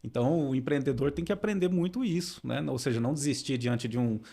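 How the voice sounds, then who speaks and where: A man with a mid-range voice talks calmly as a podcast guest. He explains philosophy in an unhurried teaching way and often tags his sentences with a small question. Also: mid-range voice, vocal fry. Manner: calm explaining, frequent tag questions. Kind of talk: podcast interview.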